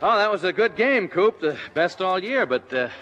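Bright, excitable loyal sidekick. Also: male voice, high voice, normal speed. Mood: loyal, consoling. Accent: American. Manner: hesitant, reassuring. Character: loyal, consoling buddy of a football coach. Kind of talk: 1950s radio comedy-drama acting. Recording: dull, lo-fi old radio recording.

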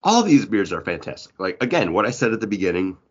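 Male co-host, lower register, jokey and mock-outraged, conversational, muffled lo-fi recording. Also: very animated, fast, breathy edge. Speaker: podcast co-host. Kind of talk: casual banter.

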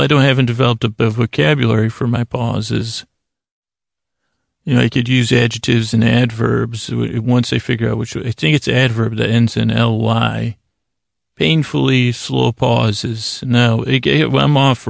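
An American man with a heavily creaky, gravelly voice hosts a bedtime podcast. He talks in a flat, narrow range, halting and restarting as he meanders through improvised tangents. The sound is dry and close. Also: medium pitch, no room sound. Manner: halting, meandering, self-interrupting with frequent tangents and pauses. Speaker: podcast host. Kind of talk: rambling, improvised bedtime monologue.

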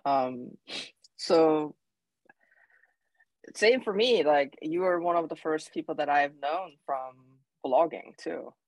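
A woman's voice: low voice, slow, pauses often, a little mellow, no room sound, poor audio. A woman from South Korea in her thirties with a Korean accent, a guest chatting freely with many "you know" and "like" fillers. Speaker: guest, travel blogger. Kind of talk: casual interview answers.

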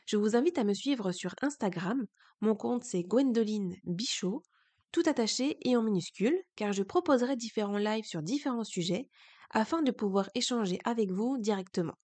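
A woman's voice: mid-range voice, lively intonation, normal speed, a little mellow. A woman hosting a podcast, speaking candidly and earnestly in a reflective personal monologue, her voice changed by a cold. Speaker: podcast host.

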